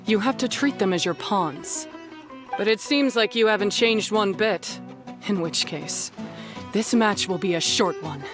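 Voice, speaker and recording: A woman's voice: medium pitch, measured pace, over music, close to an everyday voice. A woman playing a proud, cold young beast tamer rival in a video game, with a stern, clipped delivery.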